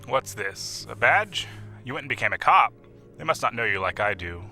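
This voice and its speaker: An actor playing a genial, theatrical old Yankee gentleman with a courtly, avuncular manner in an audio drama. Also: mid-range voice, sing-song, moderate pace, soft background music, full character voice. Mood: amused, curious. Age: older.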